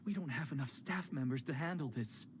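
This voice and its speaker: A man's voice: a little high, fairly quick, background noise, close to an everyday voice. A man voicing an anxious, apologetic club organizer in a game, speaking nervously.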